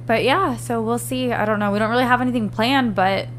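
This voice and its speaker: A woman's voice: a little high, fairly quick, a little hiss or hum. A young woman co-hosting a casual podcast chat, rambling along with lots of "like" and "um" fillers. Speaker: podcast co-host.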